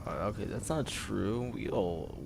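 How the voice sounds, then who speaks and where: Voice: mid-range voice, lively intonation, measured pace, gravelly, a little mellow. A game streamer giving casual live commentary, rambling and hesitant, often trailing off. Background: game music.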